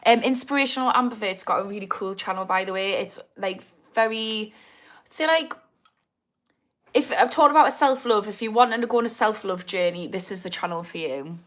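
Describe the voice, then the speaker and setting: A woman's voice: mid-range voice, fairly quick, fairly bright tone. A woman in her thirties with a Geordie accent, chatting casually on a livestream, answering viewer comments with self-mocking humour and frequent laughter. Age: 30s. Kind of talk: casual live chat.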